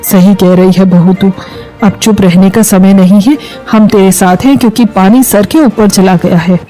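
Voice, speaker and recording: A woman's voice: medium pitch, steady tone, normal speed, mellow, faint music behind, natural voice, poor audio. A woman playing a firm, protective middle-aged mother-in-law in a Hindi moral story, speaking emphatically. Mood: supportive.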